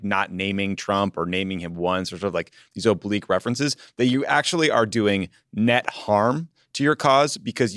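A man with a low voice co-hosting a casual political podcast, recorded close and dry. He is blunt, swears freely and teases the others. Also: a bit bright, no room sound. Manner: blunt, profane, teasing. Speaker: podcast co-host.

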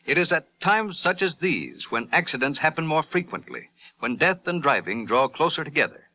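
A man's voice: a little high, lively intonation, normal speed, pushed and bright. A man with an American accent, an earnest and formal 1950s radio announcer reading a scripted message. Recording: vintage 1950s radio broadcast recording.

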